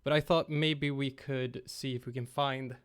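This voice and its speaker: A young man hosting a casual game-creation livestream from home on a headset, slightly raspy after being ill. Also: medium pitch, a little slow. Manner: relaxed, rambling, talks to chat.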